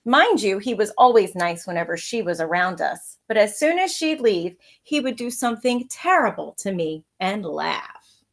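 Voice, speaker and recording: A woman teacher reading a children's story aloud with expressive narration, recorded at home. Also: mid-range voice, normal speed, vocal fry.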